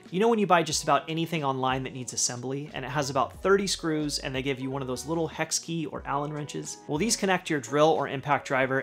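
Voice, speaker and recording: A middle-aged man with a slightly raised pitch talks through a list of workshop tools. Each item gets an upbeat lift, then his voice settles as he finishes the thought. The light music underneath keeps it feeling like a produced channel segment. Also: fairly bright tone. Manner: upbeat list-style delivery, dropping at the end of each item. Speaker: woodworking channel host. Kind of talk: scripted product rundown. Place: home garage workshop.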